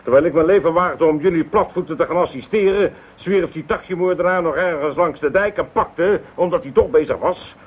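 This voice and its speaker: An actor playing a gruff, sarcastic, blustering harbour police inspector in a radio drama. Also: male voice, a little high, brisk, hardly any pauses. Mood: bitter. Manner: blustering, sarcastic, nautical slang. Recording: muffled, thin old radio recording.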